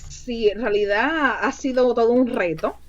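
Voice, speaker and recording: A woman speaking as a bilingual recruiter in a casual interview, lively and quick to laugh. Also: fairly high pitch, lo-fi sound. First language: Spanish. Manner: lively, laughs often, chatty with many restarts.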